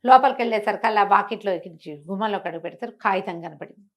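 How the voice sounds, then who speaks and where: A middle-aged woman telling a moral story in an interview, animated and acting out the characters' lines with a changing voice. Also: mid-range voice, quick pace. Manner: expressive, acts out the characters' lines with shifting voice. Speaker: storyteller guest.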